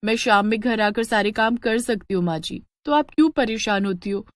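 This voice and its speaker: A woman voicing a kind, dutiful village paan seller, polite and earnest, in an animated story. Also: fairly high pitch, normal speed, dry recording, close to an everyday voice. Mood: caring, earnest. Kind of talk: animated Hindi storybook acting.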